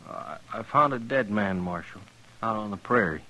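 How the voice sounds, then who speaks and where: Nervous, tense-voiced cowboy. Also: male voice, on the low side, expressive, bright, forceful tone, heavily acted, rough recording. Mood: anxious. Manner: halting, hesitant. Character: nervous, humble cowboy of the Old West. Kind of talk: 1950s radio western acting.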